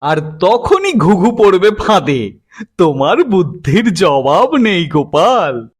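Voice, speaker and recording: A man voicing a fussy, excitable, impatient king in a comic cartoon, whiny and exclamatory. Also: high voice, slow pace, husky, full character voice. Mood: pleased. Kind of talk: cartoon comedy dialogue.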